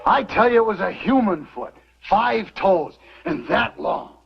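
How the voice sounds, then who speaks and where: Cocky strongman, very animated. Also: sits high, measured pace, vocal fry, music in the background, heavily acted. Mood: agitated, insistent. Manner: cocky, dismissive. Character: big, boastful, scornful strongman of mining camp. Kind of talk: vintage Western radio drama acting. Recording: muffled, noisy old radio recording.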